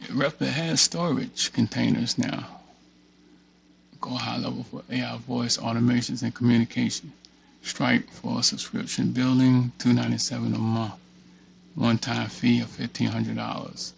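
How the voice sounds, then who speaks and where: A man's voice: mid-range voice, little pitch movement, normal speed, creaky, slight background noise. A man hosting a casual tutorial, reading a document aloud and muttering offhand asides to himself as he works. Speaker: tutorial host. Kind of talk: casual screen-share walkthrough, reading a document aloud with off-the-cuff remarks.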